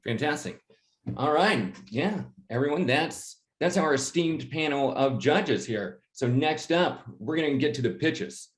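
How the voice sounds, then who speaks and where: A man hosting a pitch event, upbeat and moving quickly between guests. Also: lively intonation. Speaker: host. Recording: roomy sound.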